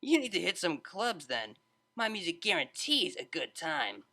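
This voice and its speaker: A man's voice: sits high, very animated, moderate pace, gravelly, sharp tone, pitched above the performer's own voice, heavily acted. A man voicing an easygoing, cocky young female unicorn DJ who talks in casual, confident slang in a storybook reading. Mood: breezy, boastful.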